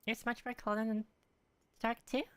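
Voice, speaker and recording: Bright-voiced young woman streaming casually. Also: on the high side, level tone, a little fast, clean tone, no echo. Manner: rambling, offhand. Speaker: game streamer. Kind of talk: casual live stream chat, answering viewers. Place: room at home.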